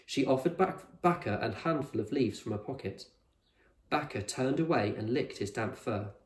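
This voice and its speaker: A man reading a children's novel aloud as a teacher, with expressive storybook narration. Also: mid-range voice, normal speed. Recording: echoey room.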